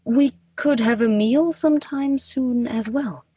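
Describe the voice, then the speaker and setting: A woman acting Death in a radio play as a formal, literal, reserved figure with stiff, measured diction. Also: fairly high pitch, mellow, slightly rough. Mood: reserved, earnest.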